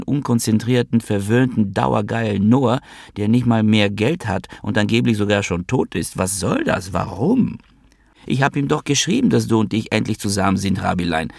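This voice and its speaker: A man's voice: fairly low pitch, expressive, moderate pace, vocal fry, no echo, studio quality. A man voicing a sly, girlish young woman who teases and confides in her lover. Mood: complaining, coy. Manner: girlish, conspiratorial.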